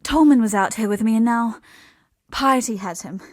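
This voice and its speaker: An actress playing a grieving young woman exile in a video game, speaking in an earnest, subdued, halting way. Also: fairly high pitch, dry recording, clean studio sound, close to an everyday voice. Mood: urgent, pleading. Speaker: game non-player character. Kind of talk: dramatic video game voice acting.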